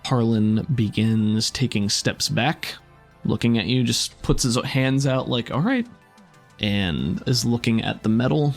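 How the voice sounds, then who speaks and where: A man's voice: mid-range voice, unhurried, creaky. A man narrating a tabletop roleplaying game as game master, describing scenes and calling for rolls. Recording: music behind the voice. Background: background music.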